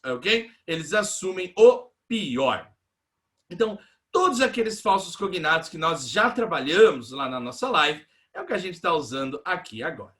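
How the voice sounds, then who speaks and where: A man from Brazil teaches a recorded English class, going through example sentences step by step. His voice sits high and sounds bright and sharp. He keeps checking with his students as he explains. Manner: explains patiently, often checks in with "né?" and "ok?".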